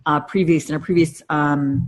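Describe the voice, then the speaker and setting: Middle-aged female podcast co-host, notably low pitch, earnest and explanatory, conversational delivery. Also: fairly even intonation, normal speed. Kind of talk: casual conversational podcast talk.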